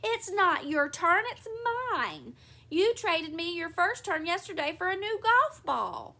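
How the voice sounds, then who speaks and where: A woman voicing a childish, quarrelsome mother in a storybook, squealing and whining as she yells. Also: sits high, a little slow, exaggerated voice. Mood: indignant. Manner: squealing, yelling, whiny.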